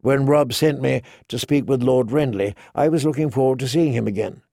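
A man voicing a composed, sober noblewoman who speaks in a measured, formal, cautioning way in an audiobook. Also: mid-range voice, creaky, mellow, no room sound, clean studio sound. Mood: courteous, guarded. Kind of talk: audiobook character dialogue.

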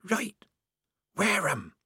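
Man putting on a hoarse, high whispering mysterious stranger. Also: huge pitch swings, extremely slow, no room sound, studio quality, exaggerated voice. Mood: hushed urging. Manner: patient, hushed, matter-of-fact. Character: unseen conspiratorial stranger whispering patient, wry advice. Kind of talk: audiobook character voice.

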